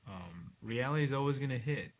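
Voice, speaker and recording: A middle-aged man from Cameroon, a neurosurgeon talking reflectively in an interview, often ending points with a quick "right?". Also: medium pitch, animated. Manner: reflective, frequent "right?" tag questions. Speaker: neurosurgeon guest. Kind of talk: relaxed interview conversation. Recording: faint hiss.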